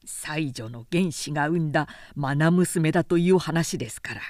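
A woman's voice: low voice, moderate pace, a little mellow, no echo, natural voice. A shrewd male strategist from a historical tale , acted out by a woman reader. He lays out his schemes in formal, earnest and calculating tones, in a dry storybook recording. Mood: calculating, persuasive.

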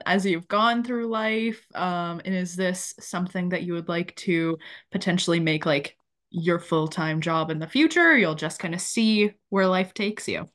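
A woman's voice: on the low side, fairly bright tone. A young woman hosting a casual book podcast, chatty and enthusiastic, with lots of fillers. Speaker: podcast host.

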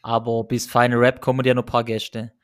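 A man with a regional German dialect hosts a podcast in a casual chat. His voice sits at a middling pitch, and he talks in an easygoing, hesitant way with loosely built sentences. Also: completely flat delivery, brisk, clean tone. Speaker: podcast host.

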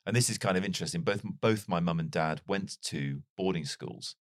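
Very deep-voiced reflective British man. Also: moderate pace, dry recording, clean studio sound. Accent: mild British English, not strongly Welsh. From North Wales. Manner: reflective, wry, self-deprecating. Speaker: podcast guest. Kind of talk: casual interview storytelling.

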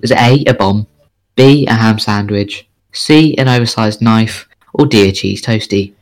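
A man's voice: fairly low pitch, unhurried, low-quality recording. A man co-hosting a casual podcast, reading odd news as quiz questions in a joking, bingo-host manner.

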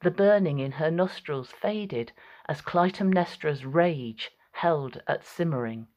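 A woman's voice: low voice, animated, slow pace, a little mellow. A woman narrator reading a scripted, dramatic story.

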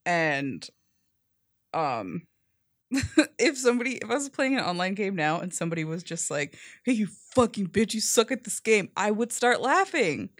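A young woman with a fairly deep voice, co-hosting a podcast and telling stories in a casual, animated way with many "like"s. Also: normal speed, dry recording, clean studio sound. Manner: animated storyteller, says "like" often. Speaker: podcast co-host. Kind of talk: casual chat.

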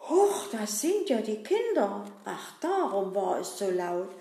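A good-natured, always hungry puppet boy fusses and makes excuses, played by a woman. He talks slowly in a mid-range voice. The room gives it a clear echo. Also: mid-range voice, sing-song, dark tone. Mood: chatty. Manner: chatty, fussy, self-excusing. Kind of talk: puppet-show acting. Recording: roomy echo.

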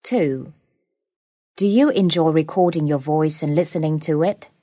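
Female examiner, low warm voice, dry close sound, measured questions. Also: dry recording. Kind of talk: reading interview questions.

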